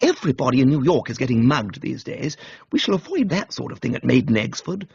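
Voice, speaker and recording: Guy doing a posh young english toff, cheerful and dim, slangy, animated, radio comedy. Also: mid-range voice, a little fast, gravelly, breathy edge, no echo, muffled recording, exaggerated voice. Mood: blithe. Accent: upper-class English. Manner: chatty, slangy, blithe. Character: cheerful, dim, excitable young upper-class English gentleman. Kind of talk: comic radio reading.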